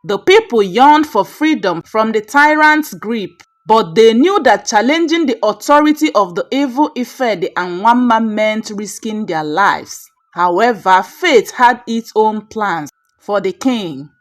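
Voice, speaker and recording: A Nigerian woman narrates a folktale from a script. Her voice sits fairly high and moves widely up and down in pitch. She phrases the story in a measured, dramatic way, as a storyteller does. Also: lively intonation.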